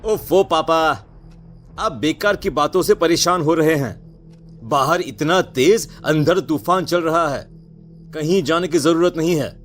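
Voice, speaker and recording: A man's voice: fairly high pitch, a little slow, a bit bright, faint music behind. A man playing a dismissive, exasperated teenage son with a whiny delivery in a dramatized story.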